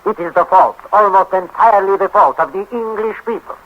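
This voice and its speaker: An actor playing a melodramatic, long-suffering hotel manager, narrating in florid, halting, self-pitying phrases. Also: male voice, high-pitched. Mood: aggrieved. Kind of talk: 1930s radio acting, narrating to audience. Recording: muffled 1930s radio broadcast, faint music.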